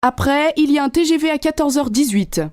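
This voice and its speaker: A woman with a fairly high voice reads her dialogue lines clearly and pleasantly. The take is dry and close to the mic. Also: brisk, darkish tone, no room sound. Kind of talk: scripted learner dialogue.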